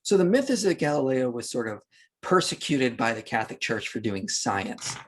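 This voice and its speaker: A man's voice: mid-range voice, sing-song, normal speed, slightly rough. A man speaking as an interview guest, explaining history in unscripted podcast talk with an emphatic, argumentative manner.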